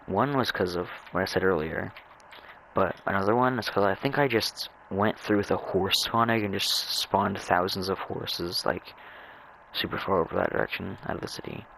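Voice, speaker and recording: Creaky, low-voiced young man, stammering. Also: a bit bright, a little hiss or hum, poor audio. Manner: halting, stammering, repeating words. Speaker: gamer narrating own gameplay. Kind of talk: rambling casual chat over gameplay.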